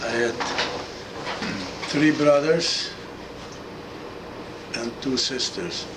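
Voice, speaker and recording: An elderly man speaks with a Hungarian accent in a mid-range voice. He is being interviewed as a survivor and gives short, halting replies. Clear noise runs behind him on the recording. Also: medium pitch. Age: 80s. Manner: brief, halting answers. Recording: clearly noisy room recording.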